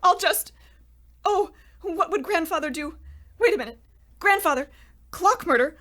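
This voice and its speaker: A woman's voice: very high voice, lively intonation, a little slow, fairly bright tone, full character voice. A woman playing a paranoid, scatterbrained shut-in who frets and talks to herself in an old-time radio comedy. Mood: frantic, scheming. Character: paranoid, unhinged shut-in woman talking to her potato.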